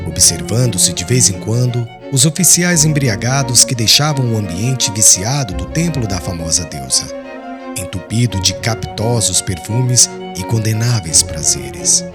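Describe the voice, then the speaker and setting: A man's voice: fairly low pitch, lively intonation, normal speed. A man reading a literary audiobook in a solemn, carefully phrased, dramatic way. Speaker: audiobook narrator. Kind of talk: dramatic scripted narration. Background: soft background music.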